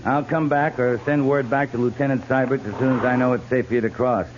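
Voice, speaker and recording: An actor in a 1950s radio western playing a steady, commanding cavalry captain with a clipped, authoritative manner. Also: male voice, medium pitch, fairly quick, lightly acted. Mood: firm, reassuring. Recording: dull, noisy 1950s radio recording.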